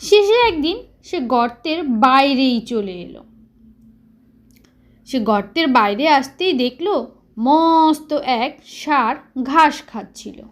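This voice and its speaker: A woman narrating a children's story in an expressive, dramatized way. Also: sits high, slow pace.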